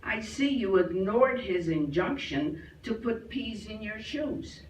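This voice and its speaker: A woman's voice: mid-range voice, expressive, slow. A woman reading a humorous tale aloud at a live reader's theater, recorded from a distance in a roomy hall. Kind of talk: joke storytelling, reading aloud. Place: clubhouse hall, live performance. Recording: roomy hall, distant mic, faint noise.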